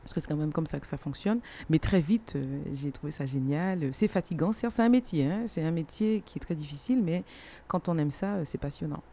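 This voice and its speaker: A woman's voice: sits low, expressive, a little fast, warm tone. A middle-aged woman with a Martinican French accent, speaking casually and emphatically as she tells her own story as an interviewed artist. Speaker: singer and musician interviewed as guest.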